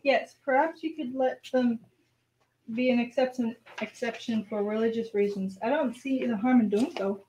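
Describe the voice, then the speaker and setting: A woman's voice: on the high side, normal speed, warm tone, close to an everyday voice, rough recording. A woman playing a deferential, mild-mannered courtier in an amateur radio play. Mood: reasoning.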